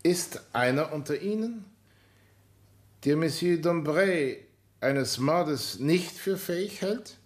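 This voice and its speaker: An actor playing a composed, relentless police inspector in a radio drama, speaking formally and insistently. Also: male voice, on the high side, expressive, slow pace. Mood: stern, composed. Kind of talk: German radio drama acting.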